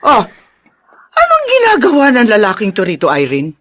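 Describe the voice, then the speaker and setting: A woman's voice: fairly high pitch, huge pitch swings, normal speed, fairly bright tone, heavily acted. Stern Filipino mother with a swooping voice. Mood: indignant. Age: middle-aged. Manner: stern, insistent, emotional rhetorical questioning. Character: stern, protective widowed mother of two grown daughters. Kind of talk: Filipino family drama acting.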